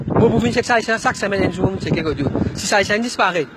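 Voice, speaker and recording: A man's voice: high voice, animated, very fast. A man confronting people at a roadside, insistent and questioning, recorded on a handheld phone outdoors. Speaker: animal rescuer. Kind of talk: heated street confrontation. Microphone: handheld phone camera. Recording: noisy street phone recording.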